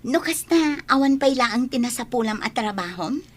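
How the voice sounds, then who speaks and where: An actress plays a young barmaid from the city in a radio drama. She is friendly, helpful and persuasive, chatting away in a somewhat high voice. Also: animated, moderate pace, slightly rough. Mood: reassuring. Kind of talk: Ilocano radio drama acting.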